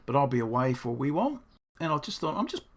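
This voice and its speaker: Male builder, croaky and gravelly, middle-pitched, rambling casual diary talk. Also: sing-song, fast. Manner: rambling, thinking aloud, self-deprecating. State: croaky, hoarse. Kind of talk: casual diary-style explanation.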